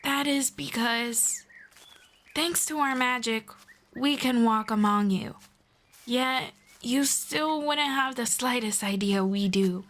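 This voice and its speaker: A woman playing a friendly, knowledgeable elf in a scripted roleplay, speaking to the listener in a formal, measured, gently explanatory way. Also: fairly high pitch. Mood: calm, confiding. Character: friendly, knowledgeable female elf guiding a human. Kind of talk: scripted roleplay audio, one-sided conversation with listener.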